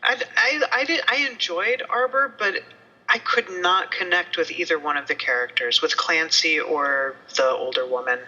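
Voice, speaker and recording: A woman's voice: low-pitched, moderate pace, pushed and bright, husky, lo-fi sound. A woman chatting casually as a podcast co-host. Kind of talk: casual chat.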